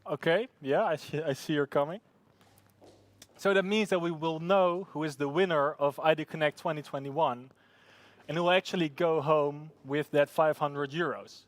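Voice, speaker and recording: An upbeat man hosts a live event, pausing often on fillers. His voice sits a little high, and the sound is dry and close. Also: no echo. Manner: upbeat, hesitant with many fillers. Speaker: event host.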